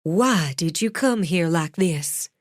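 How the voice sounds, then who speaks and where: Brief: narrator as a commanding grandmother in her seventies, slow and unbending. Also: on the low side, expressive, no room sound, studio quality. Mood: stern. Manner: firm, clipped, slow and commanding. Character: stern elderly grandmother, commanding matriarch.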